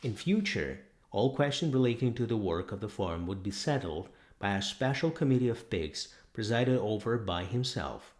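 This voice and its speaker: Casting: male audiobook narrator, lower-pitched, lively intonation, dramatic reading of literary fiction. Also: moderate pace, hardly any pauses. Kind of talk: dramatic scripted narration of a novel.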